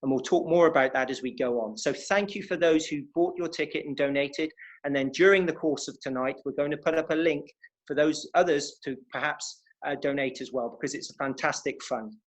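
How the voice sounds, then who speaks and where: Enthusiastic English host with West Country accent. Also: male voice, fairly high pitch, brisk, poor audio. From West Country, England. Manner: enthusiastic, welcoming. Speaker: interviewer and host. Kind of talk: hosting a fundraising interview evening.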